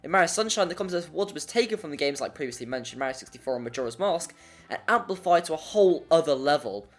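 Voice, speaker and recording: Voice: mid-range voice, animated, fast talker. A narrator reading a scripted video essay in an informal, jokey way with rambling casual asides. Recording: music under the voice. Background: background music.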